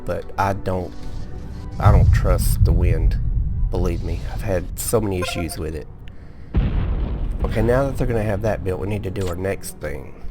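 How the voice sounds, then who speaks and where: A man hosting a casual gameplay video, sleepy and relaxed, explaining each step as he goes with small restarts. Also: deep voice. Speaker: gaming video host. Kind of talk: casual let's-play commentary.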